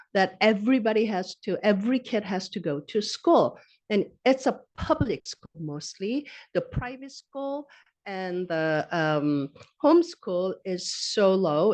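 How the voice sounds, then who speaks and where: A middle-aged woman from Korea, a native Korean speaker, giving an academic medical lecture in an explanatory, plain-spoken way with a Korean accent. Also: mid-range voice. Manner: explanatory, steady, plain-spoken with occasional self-corrections. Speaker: physician researcher presenting at grand rounds. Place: lecture hall.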